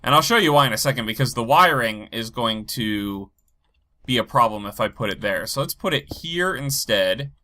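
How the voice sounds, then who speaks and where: A man with a head cold, stuffy and a bit low energy, rambling as he talks through his game and thinks aloud. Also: lively intonation, normal speed, bright, forceful tone. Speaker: Minecraft let's play host. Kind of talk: casual gameplay commentary.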